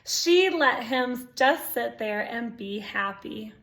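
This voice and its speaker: A woman's voice: a little high, animated, takes it slowly, a bit bright. A woman reading a picture book aloud to young children, expressively, with exclamations, asides and questions to her listeners. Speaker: storytime reader.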